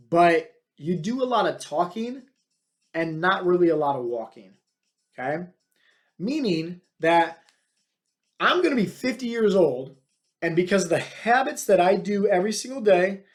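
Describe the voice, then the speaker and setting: A man in his thirties, a fitness coach hosting his own podcast, talking bluntly and with many fillers in a motivational monologue. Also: high voice. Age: 30s. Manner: energetic, filler-heavy, many "like" and "right?".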